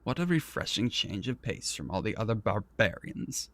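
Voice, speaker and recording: An actor playing an arrogant, vain and petulant prince in a fantasy audio roleplay, speaking in a pompous, theatrical and condescending manner. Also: male voice, fairly low pitch, big pitch swings, normal speed, a bit bright. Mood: amused, condescending. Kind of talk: scripted ASMR roleplay audio drama.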